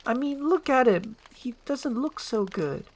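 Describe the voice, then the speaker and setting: Soft, high-voiced young princess as a reader plays her. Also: lively intonation, measured pace. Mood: worried. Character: Fiona, gentle young princess, concerned and polite.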